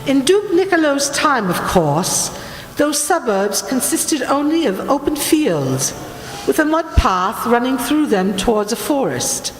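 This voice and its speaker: Woman playing an imperious high-pitched noblewoman, echoing hall. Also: very animated, unhurried. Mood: rapt, impassioned. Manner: theatrical, grandly condescending. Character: imperious, obsessive Italian noblewoman telling ghost legends. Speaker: actor in a radio play. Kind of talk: dramatic radio play acting. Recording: roomy, echoing hall, faint noise.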